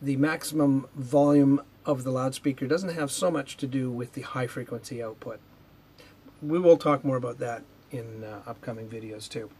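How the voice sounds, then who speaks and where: A man in his fifties presents technical material to camera in a studio. His voice sits at a middle pitch with a slightly husky grain. He explains things in a measured, matter-of-fact way, as an instructor would. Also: steady tone, darkish tone. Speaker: presenter, loudspeaker R&D manager. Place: indoor video studio with whiteboard.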